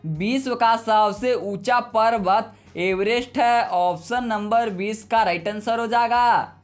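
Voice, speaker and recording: Quiz teacher, mid-pitched voice, slow measured pace, repetitive question-and-answer delivery, music underneath. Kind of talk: scripted exam quiz narration. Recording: music bed behind the voice. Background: background music.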